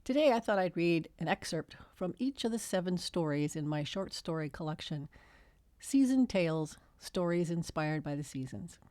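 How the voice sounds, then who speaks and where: A woman's voice: lowish voice, expressive, normal speed, darkish tone, no echo. A woman author reading her own fiction as scripted storybook narration.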